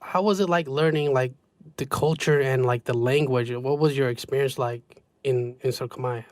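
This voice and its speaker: A man from the United States hosting a podcast, relaxed and laughing easily as he asks open questions. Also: medium pitch, normal speed, no echo, studio quality. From United States (Seattle). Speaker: podcast host. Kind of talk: casual interview chat.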